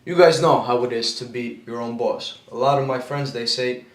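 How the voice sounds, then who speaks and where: Young man from Albania, podcast guest, earnest and motivational, mid-pitched voice, echoey room. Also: normal speed. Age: young adult. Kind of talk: casual podcast chat.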